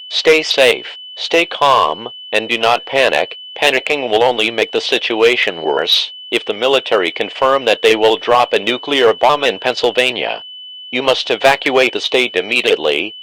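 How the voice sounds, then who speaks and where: A formal male announcer reads a scripted emergency warning in a fairly low voice. The delivery is flat and synthetic, with faint humming noise like a radio broadcast. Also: rough recording.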